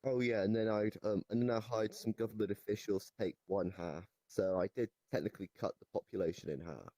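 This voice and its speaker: A man playing a deranged goblin storyteller in an improvised comic interview, rambling haltingly and nonchalant about absurd claims. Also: fairly low pitch, mellow, dry recording. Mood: casual, boastful. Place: virtual reality voice chat.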